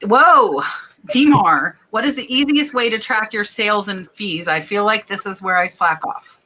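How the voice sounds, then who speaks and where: Bright chatty Florida woman host. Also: mid-range voice, expressive, normal speed, rough recording. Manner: chatty, laughing, reading viewer comments. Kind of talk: live stream chat.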